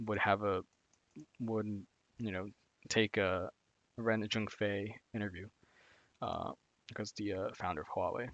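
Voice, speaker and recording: A male streamer with a fairly low voice talks loosely and casually, piling on fillers and circling back on himself. The sound is close and completely dry, with no room around it. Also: fairly bright tone, no echo, muffled recording. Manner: rambling, heavy on "like" and "again", frequent restarts. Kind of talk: casual live-stream commentary on finance resources.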